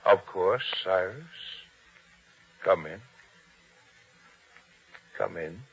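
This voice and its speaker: An actor playing a humble, anxious but principled innkeeper in old-time radio drama style, earnest and formal. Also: male voice, low voice, extremely slow, slight background noise, exaggerated voice. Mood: wary, amazed. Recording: dull, lo-fi old radio sound.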